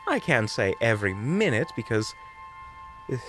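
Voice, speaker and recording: A man's voice: mid-range voice, big pitch swings, a bit bright. Man playing a flamboyant, unflappable investigator, music behind. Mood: playful, chatty. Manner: theatrical, coy, drawn-out, mockingly polite. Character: flamboyant, unflappable, witty investigator in their prime. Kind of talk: audio roleplay acting. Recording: background music.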